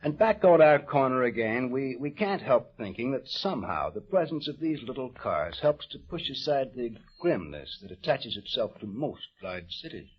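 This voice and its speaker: A man's voice: mid-range voice, big pitch swings, normal speed, few pauses, breathy edge. A man hosting an old-time radio program, interviewing and narrating in a folksy, conversational manner. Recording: dull, muffled old radio recording.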